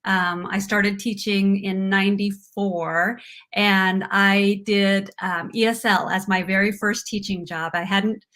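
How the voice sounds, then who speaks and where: Middle-aged woman, bright tone, chatty guest, video call mic. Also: mid-range voice, little pitch movement, takes it slowly. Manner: chatty, warm storytelling with fillers. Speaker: guest, former ESL teacher. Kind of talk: casual interview chat.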